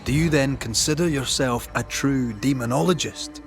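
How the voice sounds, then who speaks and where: An actor playing a shrewd ghost hunter in a dramatic video game, confronting authority with deliberate, eloquent, accusing speech. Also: male voice, medium pitch, creaky, soft background music, close to an everyday voice. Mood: mocking. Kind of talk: dramatic game storytelling and interrogation.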